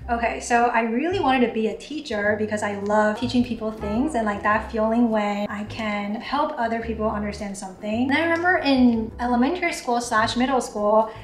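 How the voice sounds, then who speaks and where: A woman's voice: fairly high pitch, a little fast, hardly any pauses, recorded from a distance. Chatty young woman. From Taiwan. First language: Mandarin. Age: 20s. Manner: chatty, frequent "like" fillers. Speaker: YouTuber. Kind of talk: casual Q&A vlog. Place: home kitchen, indoors. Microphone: camera across the counter. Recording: echoey kitchen with background music.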